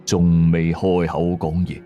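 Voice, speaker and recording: A man with a Hong Kong Cantonese accent tells a horror story in the first person, in a suspenseful, colloquial, expressive way. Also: sits low, takes it slowly, muffled recording. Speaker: ghost story narrator. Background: music behind the voice.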